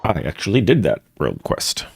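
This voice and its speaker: A man's voice: deep voice, wildly swooping pitch, moderate pace, creaky, husky. A man streaming a game, giving offhand commentary with joking asides. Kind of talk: casual gameplay commentary.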